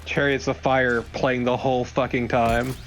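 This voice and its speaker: A man's voice: medium pitch, flat delivery, unhurried. A man co-hosting a let's play with casual, wry banter and plenty of joking swearing. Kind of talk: casual banter over gameplay.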